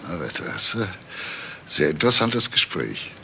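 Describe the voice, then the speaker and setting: Quietly probing detective, lowish voice, long reverb, faint noise, crime drama. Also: male voice, very animated, measured pace, creaky, full character voice. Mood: dryly ironic. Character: calm, persistent male police detective, quietly probing. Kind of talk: German TV crime drama acting.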